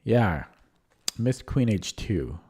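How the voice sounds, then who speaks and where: A man's voice: on the low side, sing-song, very slow, dark tone, a little hiss or hum. A man streaming chess who thinks aloud in a casual, hesitant way, his voice a little strained from talking nonstop. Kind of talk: casual live-stream commentary.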